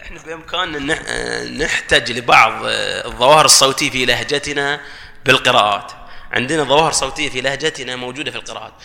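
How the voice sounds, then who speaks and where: A man, a guest on a panel, explaining his points with loud bursts of emphasis. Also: mid-range voice, fast talker, creaky. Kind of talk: panel discussion. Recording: reverberant hall sound.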